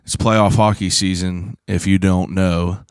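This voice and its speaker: A man in his thirties from Pittsburgh, hosting a podcast in a casual, rambling solo talk, hoarse from shouting, swearing freely and filling gaps with "uh". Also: sits low, level tone, a little slow, dry recording, clean studio sound. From Pittsburgh, USA. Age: 30s. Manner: rambling, profane, self-deprecating; frequent "uh" fillers and throat clearing. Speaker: podcast host.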